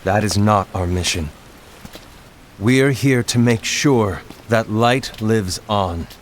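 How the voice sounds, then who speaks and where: A man playing a calm, dutiful leader in a video game cutscene, speaking in a measured, formal way. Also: on the low side, slight background noise. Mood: solemn, resolute.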